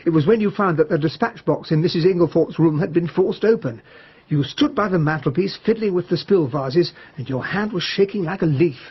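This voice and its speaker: An actor playing an amiable, baffled English army captain, polite and plain-spoken, in a radio drama. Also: male voice, on the high side, brisk, husky. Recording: slightly dull radio drama recording.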